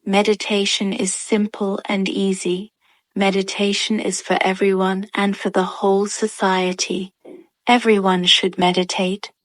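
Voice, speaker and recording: A woman's voice: medium pitch, no room sound. A woman narrating a guided meditation in a soothing, instructional way.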